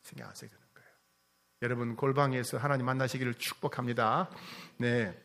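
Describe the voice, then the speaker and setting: A man's voice: mid-range voice, fairly even intonation, brisk. A male pastor preaching a sermon, asking the congregation questions, teasing them and repeating key phrases. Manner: repeats key phrases, swings from loud to soft.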